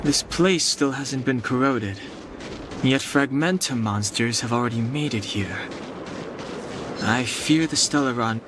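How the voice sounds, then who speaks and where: A man playing a cautious, level-headed young adventurer in an anime-style video game, calm, measured and matter-of-fact. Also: medium pitch, background noise, close to an everyday voice. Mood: wary.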